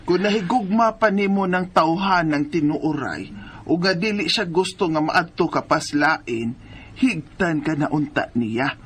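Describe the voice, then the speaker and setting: Actress as blunt nagging Bisaya mother, low-voiced. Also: a little slow, slightly rough. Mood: reproachful. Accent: Philippine, Bisaya. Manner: scolding, questioning. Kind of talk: radio drama acting. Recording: background noise and ambience.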